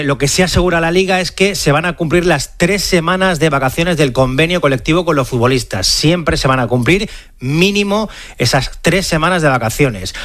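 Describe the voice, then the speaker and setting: A man's voice: on the high side, a bit bright. A man with a Spain Spanish accent explaining sports news in a live radio report.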